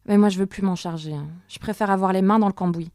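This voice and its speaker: A woman's voice: medium pitch, steady tone, fast talker, mellow, dry recording, studio quality, lightly acted. A woman playing a reluctant, hands-on workshop worker in an audio drama, speaking in a hesitant, colloquial way. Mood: firm, reluctant. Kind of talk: naturalistic audio fiction dialogue.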